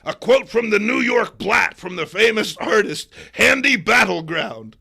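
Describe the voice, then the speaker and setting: A man's voice: sits high, sing-song, a little slow, gravelly, sharp tone, voice pushed up, exaggerated voice. A man playing an irascible, bossy newspaper boss who bellows in exasperation, in a comic book reading. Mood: angry.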